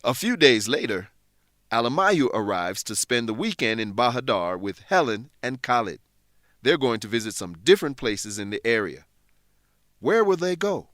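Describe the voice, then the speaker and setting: A male narrator introduces an educational drama in scripted style. His voice is mid-pitched with very varied intonation, recorded dry. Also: very animated, moderate pace, a bit bright, no echo. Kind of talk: scripted narration for language learners.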